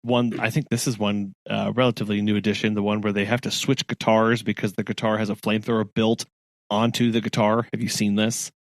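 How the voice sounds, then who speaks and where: A man's voice: on the low side, level tone, a little fast, dry recording. A man co-hosting a podcast in casual chat, rambling with many restarts and frequent laughs. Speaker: podcast co-host.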